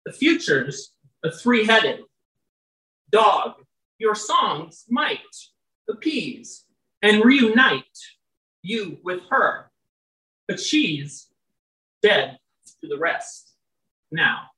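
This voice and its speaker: A poet with a loud voice reading their own work at a live venue, chatty and wry between poems and slow and measured when reading. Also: mid-range voice, big pitch swings. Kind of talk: live poetry reading with casual asides. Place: indoor venue with live audience. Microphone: stage microphone, often off-mic. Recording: roomy, distant live-venue sound.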